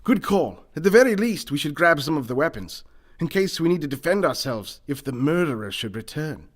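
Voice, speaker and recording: A pompous, wealthy eccentric gentleman played by a man, pitched fairly high. He speaks formally and theatrically, like the lord of the house in a comic radio mystery. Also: sing-song, fairly quick. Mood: matter-of-fact, pompous. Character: pompous wealthy eccentric businessman and hunter, male. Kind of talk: comedic old-time radio mystery acting.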